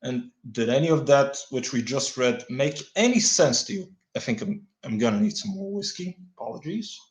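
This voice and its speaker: A Bulgarian man reading a dense political text aloud, stumbling over words and adding deadpan asides. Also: mid-range voice, normal speed, echoey room. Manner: stumbling, restarts words, deadpan wry comments. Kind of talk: halting read-aloud with dry asides.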